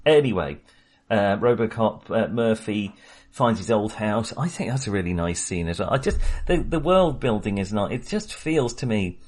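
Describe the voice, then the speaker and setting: A man's voice: fairly low pitch, expressive, moderate pace, darkish tone, husky. A man co-hosting a casual film chat, talking hesitantly with many restarts. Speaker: podcast co-host.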